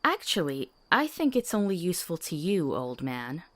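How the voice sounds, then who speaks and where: A woman playing a compassionate, sharp-tongued companion to a monster hunter in a fantasy visual novel, earnest and articulate. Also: fairly low pitch, big pitch swings, moderate pace. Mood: exasperated.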